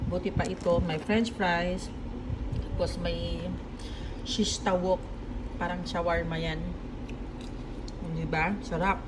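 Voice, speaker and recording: A woman's voice: lowish voice, little pitch movement, slow, pauses often, noisy background. A woman with a Filipino accent chatting casually and informally to her viewers as a vlogger. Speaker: vlogger, event info point staff. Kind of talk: casual vlog chat to viewers. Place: outdoors or at an office doorway.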